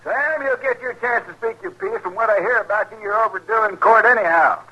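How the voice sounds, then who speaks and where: An actor playing a crusty, irritable frontier circuit judge, folksy and blustering, with an American accent in 1950s radio western style. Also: male voice, sits high, lively intonation, brisk, sharp tone, muffled recording, exaggerated voice. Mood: gruff, impatient. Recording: noisy old radio drama recording.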